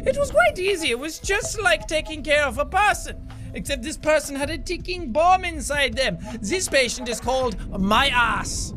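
A manic, cocky surgeon rants in a mock German accent during comedic game commentary. The voice is high, sharp and forceful, leaping around in pitch with theatrical, foul-mouthed bravado. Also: male voice, lively intonation, normal speed, soft background music, full character voice. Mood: frantic, outraged. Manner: theatrical, boastful, swearing, erratic outbursts.

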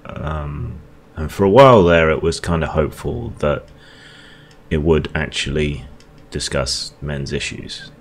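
A man with a very low, deep voice talks unscripted to his camera, weary and halting, often pausing on ums and starting his sentences over. A faint hum of room noise sits under him. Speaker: video blogger. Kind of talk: unscripted reaction monologue.

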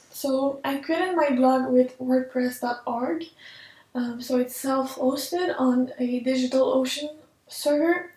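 A woman's voice: high-pitched, darkish tone, far from the mic. A young woman in her twenties from Quebec with a French-Canadian accent, speaking English as a second language in an earnest, hesitant casual vlog from her home office, with frequent ums as she searches for words. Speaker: tech vlogger. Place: home office with desk and computers, indoors. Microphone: camera mic at desk distance. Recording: echoey room, mic set back.

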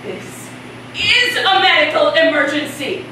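A woman's voice: sits high, sing-song, a little slow, sharp tone, exaggerated voice. A woman playing a desperate, angry wife fighting for her sick spouse in a live stage play. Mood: furious, desperate. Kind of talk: live one-act stage monologue. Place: festival stage before a seated audience. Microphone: far camera. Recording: echoey, noisy stage, distant camera mic.

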